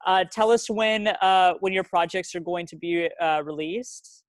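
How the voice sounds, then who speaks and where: A man interviewing a playwright, speaking haltingly with many fillers. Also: sits high, no room sound. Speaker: student interviewer. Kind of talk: interview hosting.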